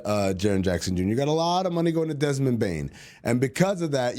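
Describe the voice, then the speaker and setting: A man's voice: on the low side, mellow. A man co-hosting a basketball podcast, chatting casually and teasing his co-host while he explains team finances in plain terms. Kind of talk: casual sports chat.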